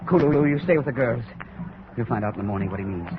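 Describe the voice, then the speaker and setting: A man's voice: medium pitch, lively intonation, quick pace, lightly acted. A man playing a steadfast, decisive plantation hero in 1940s radio drama, speaking earnestly. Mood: grim. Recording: muffled old radio, clear hiss.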